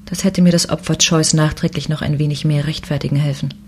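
A woman's voice: low-pitched, fairly even intonation, fairly quick, darkish tone, close to an everyday voice. Woman as a grave regretful space cop, telling her story in a sci-fi radio play, faint noise behind. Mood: bitter, regretful. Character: grave, regretful female police commissioner in space. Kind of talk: science fiction audio drama with first-person narration.

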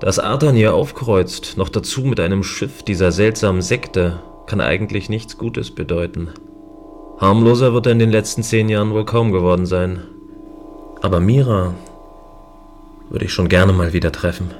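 A man's voice: sits low, moderate pace, a little mellow, faint music behind, close to an everyday voice. An actor playing a lonely, wry male space station guardian who narrates in a reflective, dry way in a scripted audio drama.

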